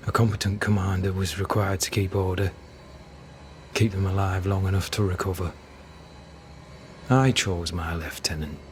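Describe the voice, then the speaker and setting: An actor playing a stern, proud colonial military captain in a video game, speaking in a grave, formal, measured way. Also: male voice, deep voice, fairly even intonation, a little hiss or hum, lightly acted. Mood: grave regret. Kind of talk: dramatic video game acting.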